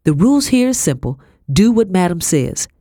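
Woman reading a scolding middle-aged housekeeper, high-pitched, brisk and rustic, dry close sound. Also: sing-song, dark tone, no room sound. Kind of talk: audiobook character voice.

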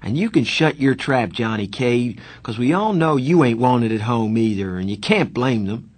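Hot-tempered teen boy, played by a man, husky, emphatic and defensive, for a novel reading. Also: on the high side, expressive, slow, voice pushed up. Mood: angry. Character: bitter, hot-tempered teenage boy narrator in dialogue.

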